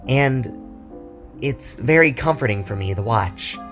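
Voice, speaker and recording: Playful, caring young girl for a narrated story, performed by a man, lively pitch, soft music bed. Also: unhurried, natural voice. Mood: gentle, hesitant. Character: teasing, caring young girl, comforting friend. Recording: music behind the voice.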